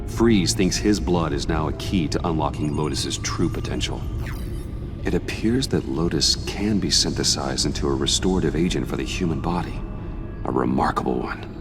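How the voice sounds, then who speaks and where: An actor playing a grave, protective vigilante hero in a video game, speaking in a measured, controlled way. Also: male voice, extremely low pitch. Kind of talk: dramatic video game acting. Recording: music behind the voice.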